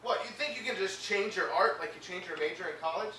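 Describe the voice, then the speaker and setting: An actor playing a bitter, sarcastic hospital patient, a paralysed sculptor, middle-aged. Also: male voice, high voice, quick pace, noisy background, close to an everyday voice. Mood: bitter, angry. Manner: sarcastic, biting. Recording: roomy film sound from a distance.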